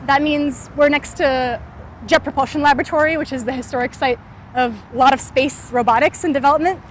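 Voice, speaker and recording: A woman's voice: sits high, steady tone, fairly quick, a little hiss or hum, low-quality recording. A woman in her twenties from California, talking casually in an interview about her startup, with many filler words. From California, USA. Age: 20s. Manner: frequent "like" and "um" fillers, thoughtful. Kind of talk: casual interview while walking. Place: outdoors on a city street.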